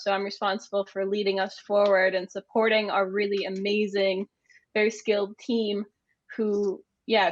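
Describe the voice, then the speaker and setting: A woman's voice: mid-range voice, dry recording, lo-fi sound. A woman from the United States with an American accent, answering conversationally as an interview guest. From United States (Maine). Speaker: interview guest, agency managing director.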